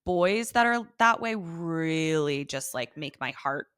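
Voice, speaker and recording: A middle-aged woman co-hosting a podcast, expressive, teasing and sweary, recapping and bantering casually into a close microphone. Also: low-pitched, takes it slowly, clean tone, dry recording. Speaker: podcast co-host.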